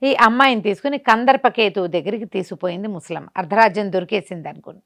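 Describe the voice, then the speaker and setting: Animated conversational woman storyteller. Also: mid-range voice, quick pace. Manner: conversational, asks herself rhetorical questions. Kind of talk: animated oral folk storytelling.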